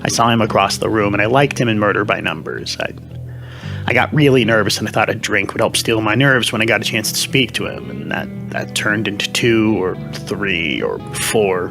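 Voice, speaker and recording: A man's voice: on the low side, lively intonation, moderate pace, gravelly, music in the background. A man playing a nervous, self-pitying entertainment reporter who stammers and rambles confessionally on a parody TV newscast. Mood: nervous, rambling.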